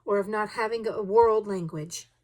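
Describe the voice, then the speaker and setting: A woman voicing a calm, philosophical manservant in an audiobook story, formal, polite and unruffled. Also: medium pitch, moderate pace, natural voice. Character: calm, philosophical, deferential Belgian manservant, male.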